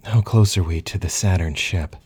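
A man reads the part of a stubborn, injured woman who pilots a spacecraft in an audio story. The delivery is groggy and strained, very low and husky, with a protesting edge. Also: normal speed, darkish tone. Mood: groggy, questioning.

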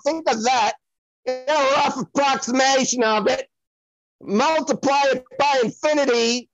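A woman's voice: sits high, dry recording. Woman acting as celebrity psychic medium, brash, foul-mouthed, dramatic, digressive, comedy audio play, dry lo-fi sound. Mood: disgusted, urgent. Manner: theatrical, digressive, addressing the host by name. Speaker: guest playing a comic psychic.

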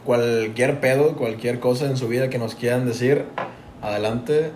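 A young Mexican man from Tampico, talking loose and slangy with constant profanity as a podcast co-host. His voice sits at a middle pitch. The room rings with echo and a clear hiss of noise. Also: steady tone, normal speed, a little mellow. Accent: Mexican Spanish, from Tampico. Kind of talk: casual podcast chat.

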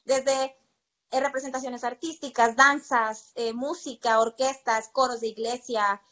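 A woman's voice: fairly high pitch, fairly even intonation, measured pace, bright, forceful tone, lo-fi sound. A Mexican woman talking conversationally as an interview guest, telling personal stories in a talkative, emphatic way and repeating words for stress. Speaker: interview guest, percussion teacher.

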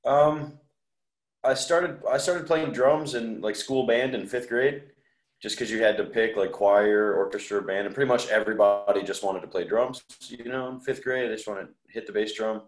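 A man's voice: on the low side, normal speed. A man chatting casually as an interview guest, laid-back and self-effacing. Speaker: podcast guest, musician. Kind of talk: casual chat. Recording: echoey room sound.

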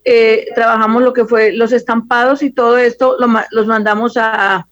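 A woman's voice: a little high, little pitch movement, moderate pace, no echo. A Colombian woman, a fashion designer, giving spontaneous answers as an interview guest over a dull, lo-fi connection.

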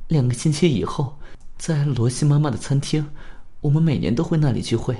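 A man's voice: fairly high pitch, brisk, dark tone, pitched above the performer's own voice, natural voice. A man voicing a proud, earnest and anxious young woman in a radio drama, with formal, emphatic phrasing.

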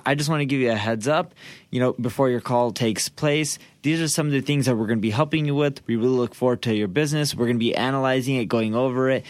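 A man's voice: medium pitch, no room sound. A man co-hosting a podcast in a casual, instructional style, posing questions and making points emphatically. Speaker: podcast co-host.

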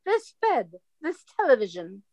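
Catty society snob, radio farce. Highish voice, lively pitch, affected. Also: female voice, unhurried, no echo, muffled recording, heavily acted. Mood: disdainful. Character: snooty society lady, scandalised by tacky decor. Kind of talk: comedic radio play acting.

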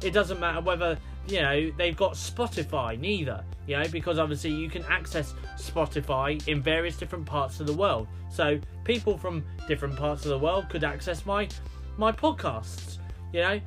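A man's voice: on the high side, normal speed, a bit bright, faint music behind. A British man hosting a solo podcast, rambling with many fillers and restarts. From United Kingdom. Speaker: podcast host.

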